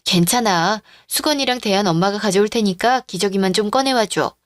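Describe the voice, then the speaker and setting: A woman's voice: medium pitch, fairly quick, no room sound, clean studio sound, natural voice. A woman playing a devoted, patient middle-aged daughter-in-law and mother in a radio-drama style story. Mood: gentle, resolute.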